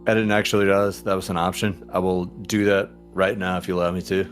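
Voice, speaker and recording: A man with a fairly low voice takes part in a tabletop game as a player. He talks casually, over faint music. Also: level tone, fast.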